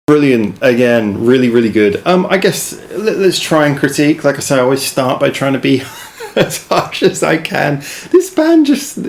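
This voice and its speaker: A man's voice: medium pitch, sing-song, moderate pace, creaky. A man reviewing a song in a casual, rambling way, self-deprecating and full of restarts. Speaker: music reaction reviewer. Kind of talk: casual chat.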